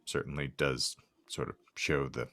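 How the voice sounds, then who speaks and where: A man's voice: extremely low pitch, little pitch movement, normal speed, a bit bright, no echo, studio quality. A man hosting a podcast, reading out a comic hypothetical and bantering in a wry, wordy, mock-formal manner. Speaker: podcast host.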